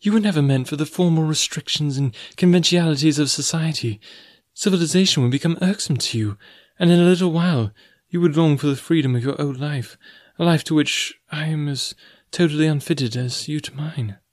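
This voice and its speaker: A man voicing a gentle, troubled young woman in audiobook dialogue, pleading and earnest. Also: fairly high pitch, fairly quick, no echo, studio quality, pitched above the performer's own voice, lightly acted. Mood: sad, pleading.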